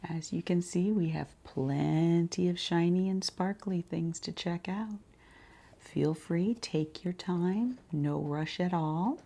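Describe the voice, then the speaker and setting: Slow, husky woman in soft ASMR roleplay. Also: on the low side. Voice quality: soft, breathy, often slipping into whisper. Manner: unhurried, gentle pauses, murmured acknowledgements. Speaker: ASMR roleplayer as boutique shopkeeper. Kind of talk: ASMR roleplay, quiet customer consultation.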